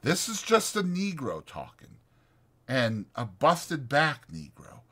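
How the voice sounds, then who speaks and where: A man's voice: a little high, takes it slowly, husky, pitched above the performer's own voice, heavily acted. A man voicing a lonely, bitter, needling stable hand in a dramatized audiobook, with folksy, insistent, taunting talk. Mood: excited. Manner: insistent, taunting, folksy, drawn into excited speeches.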